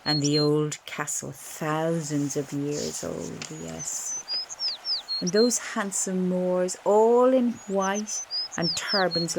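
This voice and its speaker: A woman acts out a defiant, sensual Irish wife in a stage monologue of streaming thought. Her voice is low and very slow, with dramatic weight on the words. A faint background noise sits behind the live recording. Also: heavily acted, rough recording. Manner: dramatic, unhurried, swinging between emphatic bursts and breathy murmurs.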